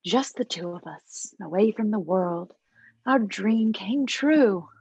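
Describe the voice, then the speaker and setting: A woman's voice: mid-range voice, expressive, slow, darkish tone, slightly rough, no echo, exaggerated voice. A woman playing a controlling, scheming mother in a table read, talking to her grown son in a syrupy, coaxing way.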